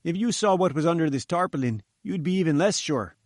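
Man acting a nervous, emphatic chief engineer, raised pitch. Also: lively intonation, a little fast, darkish tone, no echo, studio quality, natural voice. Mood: uneasy. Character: nervous, lugubrious chief engineer, wary and stubborn. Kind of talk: audiobook character dialogue.

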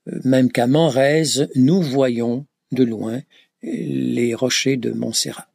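A man recounting his pilgrimage in a slow, hesitant way, full of filler sounds and restarts. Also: mid-range voice, steady tone, creaky, darkish tone, breathy edge, no room sound, clean studio sound. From Canada. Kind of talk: reflective travel account.

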